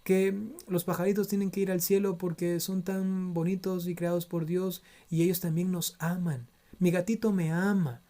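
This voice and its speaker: A priest from Ecuador teaches theology in an earnest, admonishing way, his Ecuadorian Spanish accent clear throughout. His voice is mellow and warm, and he keeps checking in with his listeners as he explains. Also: high-pitched, fairly even intonation, moderate pace. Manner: earnest, admonishing, frequent tag questions. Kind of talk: theology lecture.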